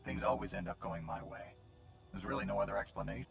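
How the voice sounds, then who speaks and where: An actor playing a confident, pragmatic male hero with a dry, gravelly voice. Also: sits low, wildly swooping pitch, quick pace, exaggerated voice. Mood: calm. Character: self-assured male hero, lucky and pragmatic. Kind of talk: video game cutscene acting. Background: game music.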